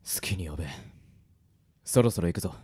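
A man playing a gruff, taciturn, nameless traveler in an audio drama, curt and brooding. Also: deep voice, brisk, dark tone, no room sound, studio quality. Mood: curt, cool. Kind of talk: Japanese audio drama acting.

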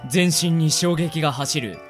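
A man's voice: a little high, a little slow, faint music behind, natural voice. A man acting a sociable, slightly weary young guy with a casual, mildly complaining manner in a radio drama.

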